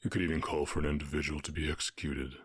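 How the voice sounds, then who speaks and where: A man voicing a calm, commanding orc warchief in an ASMR roleplay, with a husky voice and a measured, condescending, teasing manner. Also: no room sound, clean studio sound. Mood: calm, stern. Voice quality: very deep, husky.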